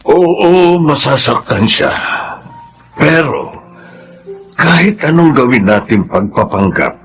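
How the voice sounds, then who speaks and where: A man's voice: medium pitch, very animated, takes it slowly, fairly bright tone, heavily acted. A male actor with a Filipino accent playing a guilt-ridden, loving middle-aged father figure in an earnest radio drama. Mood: guilty, pleading. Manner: earnest, emotional delivery. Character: guilt-ridden, loving older man posing as a boy's father.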